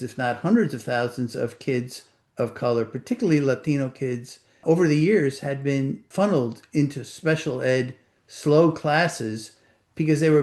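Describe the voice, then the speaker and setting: An older author with a Puerto Rican accent, answering interview questions in a thoughtful, measured way. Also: male voice, medium pitch, darkish tone. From Puerto Rico. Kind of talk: reflective conversation.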